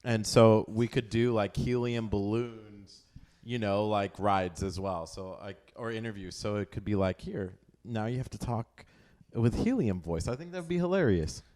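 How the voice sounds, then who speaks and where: A man with a Texan accent co-hosting a local radio show in a studio, chatty and quick to laugh. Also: lowish voice, darkish tone. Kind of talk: casual radio chat. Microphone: studio mic.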